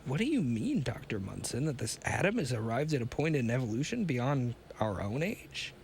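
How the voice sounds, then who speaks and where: A man voicing a nervous, disbelieving explorer who talks in a raised, halting voice. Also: mid-range voice, very animated, vocal fry, a little mellow, slight background noise. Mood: anxious.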